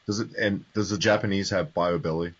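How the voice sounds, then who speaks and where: A man with a very deep, husky voice, joking dryly and deadpan as a podcast co-host. The audio is dull and lo-fi. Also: fairly quick. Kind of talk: casual chat.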